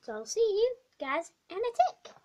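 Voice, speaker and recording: A young girl with a bad cold and a croaky voice, chatting through a craft tutorial vlog in a small bedroom, rambling with many ums. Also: extremely high pitch, big pitch swings, moderate pace, mellow, highs cut off, a little hiss or hum. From not American. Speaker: craft vlogger. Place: small dim bedroom indoors.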